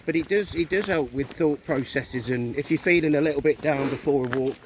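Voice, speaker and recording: English male co-host, fairly high voice, casual walking chat, clear outdoor noise on the recording. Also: brisk. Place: outdoors on a country lane.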